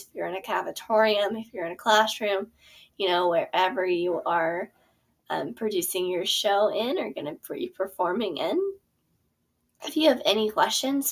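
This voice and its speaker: A young adult woman, a theater teacher, casually walking through her course plan in a chatty way with many ums and self-corrections. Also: medium pitch. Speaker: theater teacher and curriculum creator. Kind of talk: casual explanatory product walkthrough.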